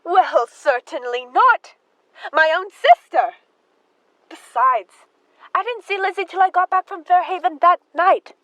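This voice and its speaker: A fretful, loyal spinster sister from a radio play, acted by a woman. Her voice is high and swings widely as she flutters, pleads and bristles in defense. The sound is dry and close. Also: animated, unhurried, a bit bright, no echo, dull audio, exaggerated voice. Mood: defensive. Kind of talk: theatrical radio drama acting.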